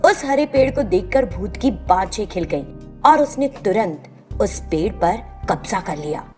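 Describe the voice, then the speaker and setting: A woman's voice: medium pitch, expressive, measured pace, a little mellow, faint music behind. A woman narrating a scripted moral tale as the storyteller.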